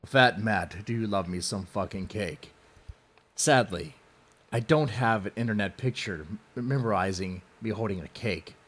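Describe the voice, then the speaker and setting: A man's voice: on the low side, unhurried, fairly bright tone. A man hosting mocking commentary in a sardonic, theatrical way. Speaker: host. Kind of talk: mocking commentary on a post he reads.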